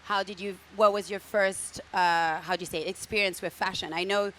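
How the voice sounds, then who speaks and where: A woman's voice: medium pitch, steady tone, normal speed, a bit bright. A woman hosting a live interview event, welcoming the audience and stumbling over and repeating words as she goes. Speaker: host.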